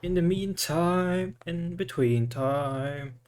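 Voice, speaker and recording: Slow-talking man streaming a game. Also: a little high, a little mellow. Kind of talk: casual live stream commentary.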